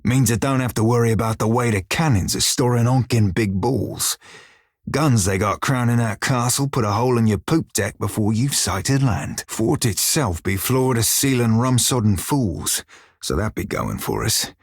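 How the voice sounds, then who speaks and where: Gruff wry pirate deckhand for a game, raspy n lowish, old sailor slang, really dry close sound. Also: male voice, lively intonation, moderate pace, dry recording. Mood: wry, brash. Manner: folksy sailor dialect, wry and plainspoken. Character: salty blue-furred pirate shiphunter, former slave, gruff and wry. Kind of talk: video game character dialogue.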